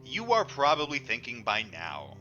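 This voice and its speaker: A man's voice: mid-range voice, huge pitch swings, moderate pace, pushed and bright. A man reading a book aloud as dramatic first-person narration, emphatic and theatrical, stretching the words he stresses. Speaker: audiobook reader. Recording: dull lo-fi recording with music behind. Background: music.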